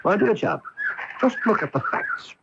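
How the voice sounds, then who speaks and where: An actor playing a keen, self-assured English gentleman detective in a radio mystery, eager and precise as he explains. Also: high-pitched, wildly swooping pitch, normal speed, full character voice. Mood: confident, explaining. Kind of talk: 1980s radio mystery acting. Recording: noisy, dull AM radio broadcast tape.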